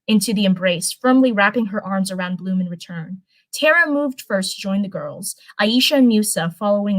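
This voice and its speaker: A woman's voice: medium pitch, low-quality recording. A woman reading fan fiction aloud on a live stream, stumbling over and repeating phrases and chatting casually in between. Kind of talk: live storytelling read-aloud with casual chat.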